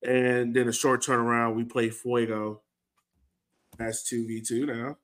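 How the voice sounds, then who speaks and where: A man with a medium-pitched voice hosts a casual sports fan show. He talks informally and conversationally, as in a relaxed chat among supporters. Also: unhurried. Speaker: host of a soccer fan podcast.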